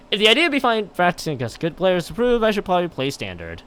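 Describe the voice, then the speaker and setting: A man with a clear, smooth, fairly high voice reads a game story aloud on a livestream. His delivery swings widely in pitch, and he trips over the text and drops in casual exclamations of his own. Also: wildly swooping pitch, a little fast, pushed and bright. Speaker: game streamer. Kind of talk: livestream read-aloud of a visual novel with casual reactions.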